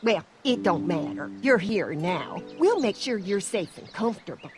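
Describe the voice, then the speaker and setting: A woman's voice: medium pitch, very animated, normal speed, over music, lightly acted. An actress playing a hospitable, motherly older farm matriarch with a folksy Southern American accent in a video game. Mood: reassuring. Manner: folksy, effusive.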